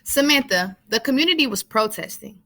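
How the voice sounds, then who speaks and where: A woman's voice: high voice, expressive, brisk, sharp tone. A woman voicing a patient, reassuring father who explains things to his young children in a storybook. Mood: patient, serious.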